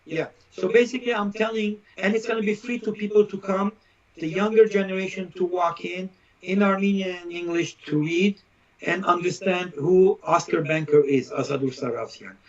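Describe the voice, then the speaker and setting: A man with a fairly high voice, heard through muffled lo-fi live stream audio. He talks as an opinionated interview guest, stating things emphatically and stacking his points in repeated lists. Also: moderate pace. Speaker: interview guest, social critic.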